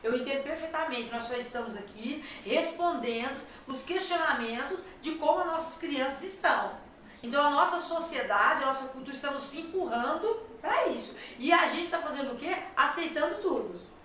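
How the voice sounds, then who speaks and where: A woman's voice: high voice, animated, moderate pace, bright, forceful tone, slight background noise. A Brazilian woman hosting a talk show, speaking emphatically and asking rhetorical questions. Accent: Brazilian Portuguese. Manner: emphatic, repeats phrases, asks rhetorical questions. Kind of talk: conversational advice talk. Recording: echoey room, voice from far off.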